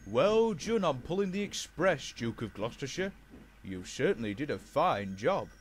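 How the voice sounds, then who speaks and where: A man's voice: mid-range voice, big pitch swings, normal speed, slight background noise, lightly acted. A man voicing a formal, authoritative railway controller who gives orders in a children's storybook. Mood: approving. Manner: formal, commanding. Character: brisk, authoritative male railway controller, fatherly boss.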